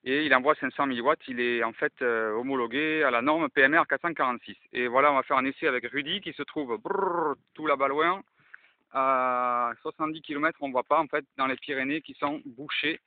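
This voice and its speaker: A man's voice: mid-range voice, fairly quick, no room sound. Thin-sounding man demonstrating a two-way radio. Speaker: amateur radio enthusiast and video host. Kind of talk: casual radio demonstration vlog with radio call-outs. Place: outdoors in open countryside. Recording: thin, phone-like band-limited sound.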